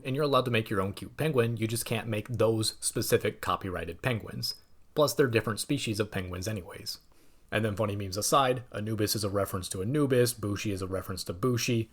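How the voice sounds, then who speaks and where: A young man reading a scripted video essay in a measured, explanatory way with dry asides. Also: on the low side.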